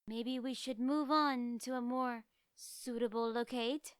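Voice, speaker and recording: A woman voicing a dignified, gentle pony princess with formal, stately phrasing in a fan fiction reading. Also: fairly high pitch, fairly even intonation, slow pace, smooth, no room sound. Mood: serene. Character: dignified, gentle, regal dark alicorn princess mare.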